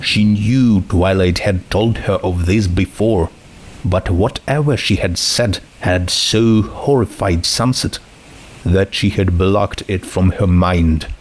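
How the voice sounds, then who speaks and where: A man's voice: deep voice, slow, poor audio. A man narrating a scripted fiction story as its reader.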